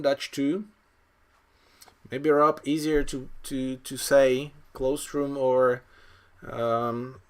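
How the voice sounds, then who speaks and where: A man around forty giving live bridge commentary as a coach, thinking aloud in an analytical, unhurried way. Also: medium pitch. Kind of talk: live card game commentary.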